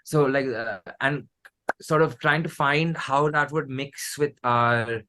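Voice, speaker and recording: A man's voice: medium pitch, takes it slowly, sharp tone, slight background noise. Indian man in rambling, casual conversation. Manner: rambling, repeats and restarts phrases. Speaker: musician, interview guest.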